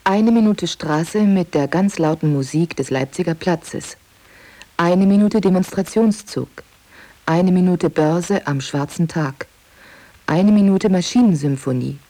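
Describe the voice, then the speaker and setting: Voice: mid-range voice, measured pace, dark tone, lightly acted. A performer reading a radio maker's quoted list in short clipped phrases for a documentary. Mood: clipped, matter-of-fact. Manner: clipped, repetitive listing.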